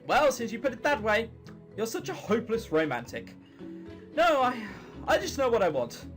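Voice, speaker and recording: A man's voice: very high-pitched, very animated, moderate pace, over music. A man voicing an earnest, guarded young female troll baker in a visual novel. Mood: playful.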